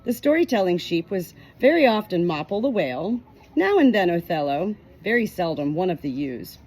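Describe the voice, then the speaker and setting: A woman reading a novel chapter aloud in an expressive storytelling manner, giving short quoted lines a light change of voice. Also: fairly low pitch, normal speed, faint music behind. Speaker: audiobook reader.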